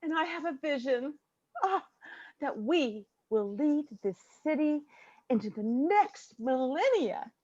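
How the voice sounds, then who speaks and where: A woman playing a grandiose, excitable mayor in a campy noir radio play, declaiming theatrically. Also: sits high, slow, no echo, lo-fi sound. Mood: exultant.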